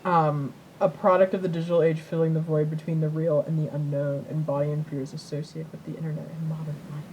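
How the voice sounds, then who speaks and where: A woman's voice: low-pitched, little pitch movement, brisk, darkish tone, a little hiss or hum, rough recording. A woman co-hosting a podcast in casual chat, rambling and repeating herself as she tells things. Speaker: podcast co-host.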